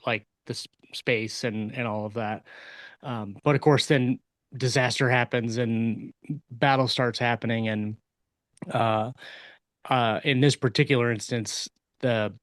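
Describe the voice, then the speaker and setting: A man in casual podcast conversation, with an average-pitched voice and a very dry, close sound. He explains in a halting, meandering way and pokes a little fun at himself as he goes. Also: no room sound. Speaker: podcast co-host and science fiction author. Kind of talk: casual panel chat.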